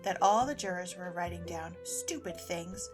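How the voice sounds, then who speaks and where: A woman's voice: on the low side, normal speed. Woman narrating a storybook over music. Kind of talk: scripted storybook narration for audio drama.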